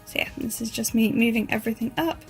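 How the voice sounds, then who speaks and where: A woman with a croaky voice, chatting casually over her planner video with a self-deprecating, rambling manner. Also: fairly high pitch, quick pace, faint music behind. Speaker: planner vlogger.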